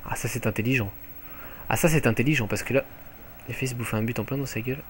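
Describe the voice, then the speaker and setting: Young french man, gaming commentary, headset mic, faint hiss behind, excitable, cursing. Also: medium pitch, quick pace. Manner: excitable, swearing, jokey asides. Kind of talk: live gameplay commentary. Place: at home.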